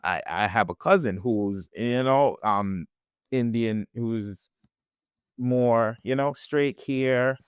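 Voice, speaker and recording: A man's voice: mid-range voice, takes it slowly, smooth, no echo. A man from Jamaica, a guest on a podcast, talking in a reflective way with frequent restarts. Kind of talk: conversational interview.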